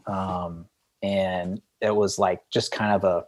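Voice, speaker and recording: A man's voice: sits low, little pitch movement, takes it slowly. A man speaking as a podcast guest and indie band frontman, telling stories casually in a rambling way full of "like" and "you know". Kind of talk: casual conversational storytelling.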